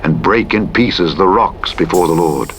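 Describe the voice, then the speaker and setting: A man with a very low voice reads scripture as a solemn narrator. His delivery is measured and declamatory. Clear truck engine noise runs behind him. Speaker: audiobook narrator. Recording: clear vehicle noise over a radio playback. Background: truck engine and driving noise from a simulator game.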